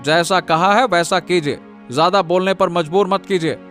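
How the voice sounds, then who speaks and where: Man acting a brusque, high-voiced policeman. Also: moderate pace, fairly bright tone, faint music behind. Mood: commanding. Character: brusque, commanding village police officer. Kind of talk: Hindi cartoon moral-story dialogue.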